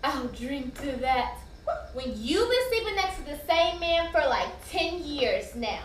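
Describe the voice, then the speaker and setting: A woman's voice: high voice, sing-song, unhurried. A woman playing a proud, lovestruck, outspoken young friend in a stage play, slangy and sassy. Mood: scolding. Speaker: stage actor. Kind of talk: live stage play dialogue. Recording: distant, echoey, noisy stage recording.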